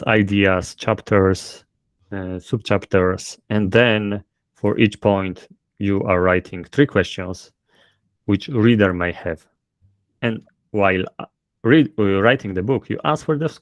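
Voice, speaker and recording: A man's voice: fairly low pitch, no echo. A man with a thick Polish accent, a self-published author explaining his methods in an interview, hesitant with many fillers. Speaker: guest author. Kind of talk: casual interview.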